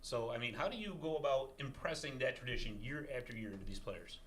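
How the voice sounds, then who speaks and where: A man hosting a college podcast in a studio, energetic and jokey with emphatic delivery. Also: medium pitch, brisk, slight background noise. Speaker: podcast host. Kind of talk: upbeat interview hosting.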